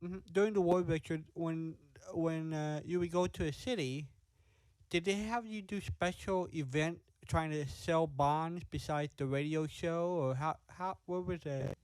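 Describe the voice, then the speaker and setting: Male interviewer, fairly high voice, halting informal questioning, dry close sound. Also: a little mellow, clear, resonant tone, dry recording, rough recording. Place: remote video call.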